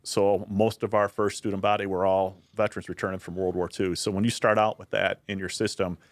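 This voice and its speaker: Low-voiced man with a dry close sound. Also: little pitch movement, fairly quick, no room sound. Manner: earnest, explanatory, frequent restarts. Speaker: university director of military affairs, guest. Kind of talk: casual podcast conversation.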